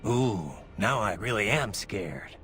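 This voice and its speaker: An actor playing a blunt, wry cyborg mercenary in a video game, speaking in a terse, dry manner. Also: male voice, on the low side, lively intonation, slow pace, fairly bright tone, soft background music. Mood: wry, determined. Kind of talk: video game cutscene voice acting.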